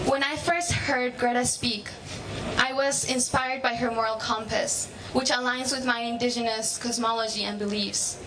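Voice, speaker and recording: A seventeen-year-old girl from Mexico, a climate activist, giving an earnest, passionate speech at an outdoor rally. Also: on the high side. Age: teens. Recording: noisy outdoor crowd recording.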